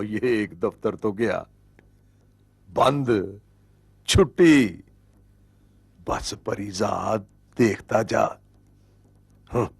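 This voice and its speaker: An actor playing a cocky, hopeful horse-cart driver in a television drama, speaking colloquially with a Punjabi accent in a jaunty, sardonic way. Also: male voice, on the low side, creaky, slightly rough, no room sound, full character voice. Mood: smug, musing. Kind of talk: colloquial television drama acting, musing aloud.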